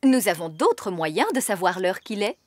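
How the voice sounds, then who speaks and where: Huffy fairy nanny, lively pitch. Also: female voice, normal speed. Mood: haughty. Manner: huffy, haughty. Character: grumbling adult fairy nanny, bossy, haughty. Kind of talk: children's cartoon voice acting.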